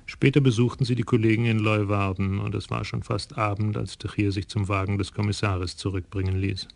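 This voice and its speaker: Flat, gravelly male narrator. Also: lowish voice, no room sound. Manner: measured, even storytelling. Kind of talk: scripted narration of a radio crime drama.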